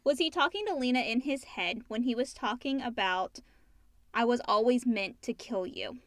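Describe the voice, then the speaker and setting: A woman's voice: a little high, normal speed, no room sound. A woman co-hosting a podcast, reading out listener questions in a conversational way. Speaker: podcast co-host. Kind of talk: reading listener questions, brief agreeing replies.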